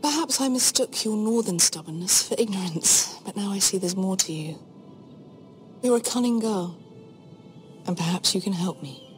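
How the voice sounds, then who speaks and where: An actress playing a cold, shrewd queen in a fantasy game, imperious and condescending in measured speech. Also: mid-range voice, lively intonation, soft background music, natural voice. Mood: probing, condescending. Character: cold, shrewd, commanding adult queen, veiled threats. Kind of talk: dramatic fantasy game acting.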